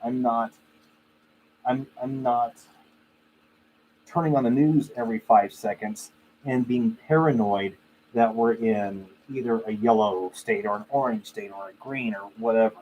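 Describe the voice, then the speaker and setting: A man's voice: medium pitch, fairly even intonation, unhurried, a little mellow, highs cut off. A man hosting a casual livestream, talking to viewers in a conversational, opinionated, anecdotal way. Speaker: livestream host. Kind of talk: casual chat with live viewers. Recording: clearly noisy live stream audio.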